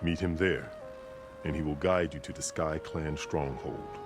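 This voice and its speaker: Scarred old-world warrior chief in a game, super deep voice, solemn speechy delivery, music behind. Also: male voice, steady tone, measured pace. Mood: commanding, grave. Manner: solemn, formal, declamatory. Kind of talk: dramatic video game dialogue. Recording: music under the dialogue.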